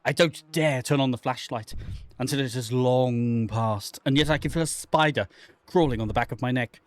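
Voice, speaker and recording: A man voicing a haunted, guilt-ridden man lost in dark woods, reading horror game narration in a theatrical, halting way. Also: medium pitch, no echo, close to an everyday voice. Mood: panicked dread. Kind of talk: dramatic first-person reading of horror visual novel text.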